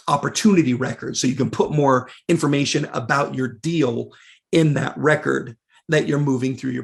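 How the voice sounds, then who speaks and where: Raspy man losing his voice. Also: medium pitch. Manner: casual, many fillers, reads out viewer questions. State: losing his voice, clearing throat. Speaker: webinar presenter. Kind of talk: live software webinar walkthrough.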